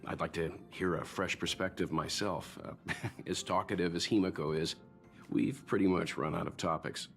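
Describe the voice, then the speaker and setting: An actor playing a wise, encouraging older mentor in a video game, measured, reflective and reassuring. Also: male voice, sits low, fairly even intonation, creaky, fairly bright tone. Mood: warmly reflective. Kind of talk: scripted video game dialogue. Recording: faint music underneath.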